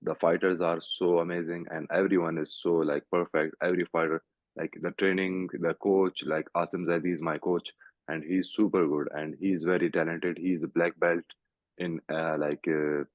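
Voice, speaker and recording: A young Pakistani man, a fighter, answering interview questions over a video call in halting English full of "like" and "you know". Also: low-pitched, level tone, no echo. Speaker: guest fighter. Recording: tinny call audio, dry.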